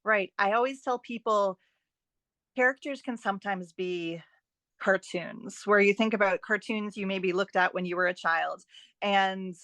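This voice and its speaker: A woman's voice: medium pitch, a little slow, fairly bright tone, dry recording. Woman giving expert advice, close dry sound. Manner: emphatic, explanatory, uses rhetorical questions. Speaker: guest editing expert and author. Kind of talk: conversational advice interview.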